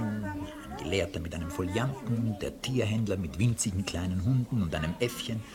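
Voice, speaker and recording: A man calmly reading the stage directions as narrator of a radio drama. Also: deep voice. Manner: calm, measured, sometimes hushed.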